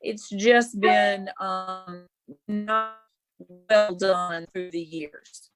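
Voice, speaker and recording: A woman's voice: mid-range voice, steady tone, extremely slow, over music, poor audio. A woman speaking as an applicant at a public meeting, explaining informally in an apologetic, hesitant manner over a remote call. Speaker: applicant, homeowner at a public hearing.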